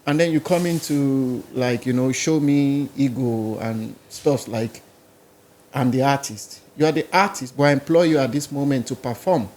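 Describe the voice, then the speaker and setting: A man's voice: medium pitch, moderate pace, a little mellow. A man with a Nigerian accent, a musician guest in a podcast interview, talking in a rambling, casual way. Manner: rambling, casual, listing places and languages.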